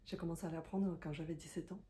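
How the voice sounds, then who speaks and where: Warm lowish woman, second-language speaker, thinking out loud with lots of ums. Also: fairly even intonation, fast, slight background noise. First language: not French. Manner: hesitant, many fillers and restarts; thoughtful and confiding. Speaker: content creator, self-employed. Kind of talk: reflective talking-head monologue.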